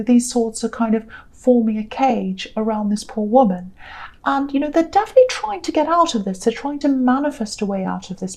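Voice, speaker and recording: A tired woman with a croaky voice giving a gentle, reassuring tarot reading in a casual, rambling way. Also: fairly high pitch. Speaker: tarot reader.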